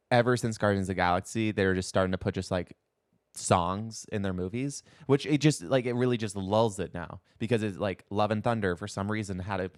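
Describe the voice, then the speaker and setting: A man's voice: fairly low pitch, dry recording, studio quality. A man co-hosting a podcast, chatting casually about movies in a loose conversational way, often saying "like". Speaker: podcast co-host.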